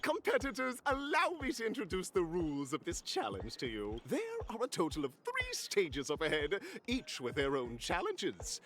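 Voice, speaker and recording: Casting: flamboyant game-show host, high voice, wildly animated, faint music underneath. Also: male voice, normal speed, full character voice. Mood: showy, excited. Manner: flamboyant, formal showmanship. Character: excitable male game-show host presenting challenges. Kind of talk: theatrical game-show presenting.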